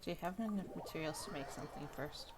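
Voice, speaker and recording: A woman's voice: low-pitched, fast, noisy background. A woman game streamer giving casual live commentary as she plays. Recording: game music behind voice.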